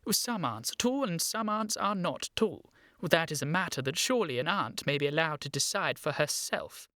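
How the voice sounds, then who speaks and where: An actor playing an earnest, evasive, easily flustered young English gentleman in a comic radio play, with a proper, indignant manner. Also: fairly high pitch, animated, moderate pace, no room sound, studio quality.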